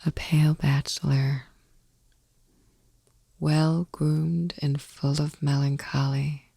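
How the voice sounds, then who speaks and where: A woman reading poetry as a sleep ASMR podcast host in a soft, breathy whisper, slow and soothing, close to the microphone. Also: sits low, no room sound.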